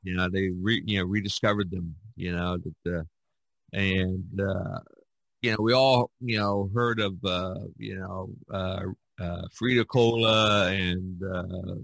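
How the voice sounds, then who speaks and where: An older man in his sixties chats as a podcast host in a fairly low voice. He speaks haltingly, circling back over phrases, in a dry, close recording. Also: fairly even intonation, vocal fry, a bit bright, dry recording. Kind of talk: casual conversation.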